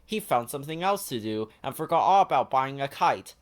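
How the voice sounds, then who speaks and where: A man voicing a staid, bookish, easily exasperated male friend in a children's storybook, in a measured grumble. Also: mid-range voice, lively intonation, natural voice.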